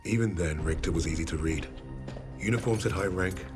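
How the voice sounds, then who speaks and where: An actor playing a defiant army lieutenant who narrates calmly in a clipped way. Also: male voice, low voice, fairly quick, natural voice. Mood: grim. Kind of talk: cutscene narration. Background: music.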